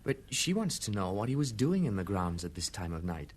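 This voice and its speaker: A man's voice: fairly low pitch, big pitch swings, a little fast, breathy edge. A man playing a young, defensive companion to an actress in a radio drama, easily indignant. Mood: concerned, polite.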